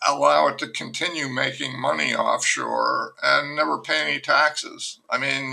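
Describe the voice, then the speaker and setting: Gravelly, sharp-toned older man. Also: mid-range voice, low-quality recording. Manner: frank, rambling, restarts his sentences. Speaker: novelist guest. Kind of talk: candid podcast conversation.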